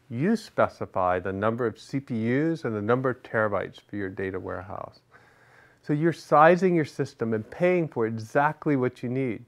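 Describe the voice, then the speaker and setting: A middle-aged man gives a scripted product explainer from a studio set. His delivery is steady and instructive, with rhetorical check-ins between points. The sound is clean and close, as from a lapel mic. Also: mid-range voice. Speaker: product management executive presenting. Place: studio with large screen behind.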